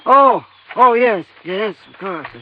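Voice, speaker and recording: An actor playing a nervous, stammering, secretive elderly scientist in a vintage radio drama. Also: male voice, sits high, animated, exaggerated voice. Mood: anxious. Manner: stammering, halting, timid. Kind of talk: 1950s radio drama acting. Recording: muffled, noisy vintage radio recording.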